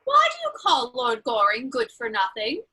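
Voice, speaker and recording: A woman's voice: a little high, huge pitch swings, moderate pace, sharp tone, slightly rough, exaggerated voice. A woman playing a pert, playful young society lady in a period stage comedy, gushing as she talks.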